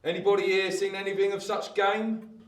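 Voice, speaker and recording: Man playing a high-pitched, brusque army sergeant. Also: flat delivery, normal speed, close to an everyday voice. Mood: brisk, official. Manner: authoritative, brusque. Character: brisk, commanding army sergeant of the king. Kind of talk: radio play acting. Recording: roomy, distant, faint noise.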